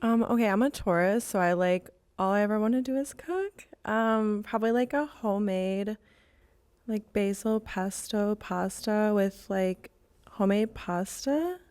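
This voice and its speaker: A woman's voice: mid-range voice, unhurried, a little mellow. Chatty young woman contestant. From Wisconsin. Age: 20s. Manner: chatty, lots of "like". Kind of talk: casual game show answers.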